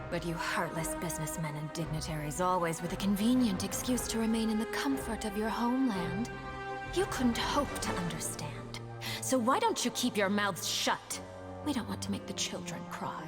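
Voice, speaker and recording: A woman's voice: mid-range voice, animated, moderate pace, lightly acted. Haughty female villain for a game cinematic actress: cutting, scornful, medium register over music. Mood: contemptuous. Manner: contemptuous, cutting. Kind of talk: dramatic game trailer acting. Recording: music behind voice.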